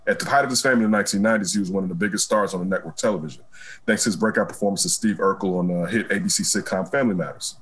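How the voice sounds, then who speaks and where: A man hosting a casual pop culture chat, animated and laughing often, reading news aloud with lots of fillers. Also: low-pitched, very fast, breathy edge. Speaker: talk show host.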